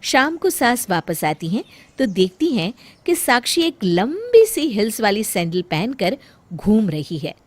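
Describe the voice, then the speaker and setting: Expressive, unhurried woman storyteller. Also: medium pitch, dry recording. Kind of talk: expressive scripted story narration.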